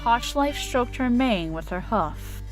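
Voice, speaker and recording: A woman narrates a scripted story dramatically. Her medium-pitched voice moves widely up and down, with faint music underneath. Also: lively intonation, takes it slowly.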